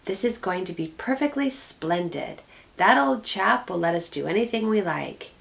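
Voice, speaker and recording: An eager older schoolboy from a children's storybook, full of plans and sure of himself, read aloud by a woman. The voice sits low, barely changed from the reader's own. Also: lively intonation, normal speed, vocal fry. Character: eager confident English schoolboy, eldest brother.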